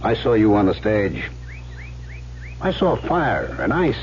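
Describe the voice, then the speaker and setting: A man's voice: lowish voice, huge pitch swings, slow, full character voice. An actor playing a cocky, silver-tongued gangster in an old-time radio drama, teasing and insistent as he works on his listener. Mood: goading, intense. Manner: smooth-talking, insistent, theatrical, teasing. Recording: muffled vintage radio broadcast, faint hum.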